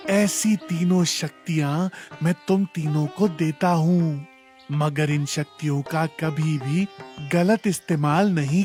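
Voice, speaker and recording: A man voicing a solemn sage who grants magical powers in a cartoon story, speaking slowly and with measure. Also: a little high, darkish tone, voice pushed down.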